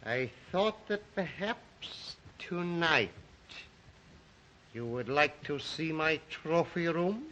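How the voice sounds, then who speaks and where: A man playing a sinister, courteous aristocratic count in old film melodrama style, speaking formally and deliberately. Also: a little high, expressive, pushed and bright, exaggerated voice, low-quality recording. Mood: suave, veiled menace. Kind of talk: 1930s film melodrama acting.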